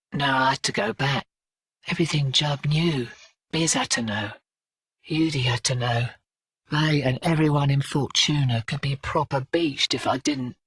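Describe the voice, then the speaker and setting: A tough female mercenary for a video game, her voice husky and forceful. She talks in clipped slang with sardonic weariness, taking her time while the pitch jumps around. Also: dry recording, studio quality, far from the mic, heavily acted. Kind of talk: scripted video game character dialogue.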